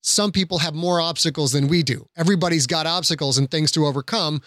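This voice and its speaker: A man's voice: fairly high pitch, fast, a bit bright, no echo, studio quality. A middle-aged man in a casual podcast discussion, explaining things in an earnest, plain-spoken way.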